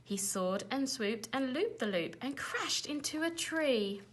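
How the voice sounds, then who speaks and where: A woman teacher reading a children's storybook aloud in an animated, expressive way. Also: high voice, a little slow, slight background noise.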